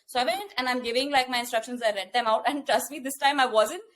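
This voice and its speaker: A woman's voice: sits high, quick pace, slight background noise. A young woman with an Indian accent, co-hosting a casual podcast and telling a story animatedly with frequent restarts. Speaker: podcast co-host.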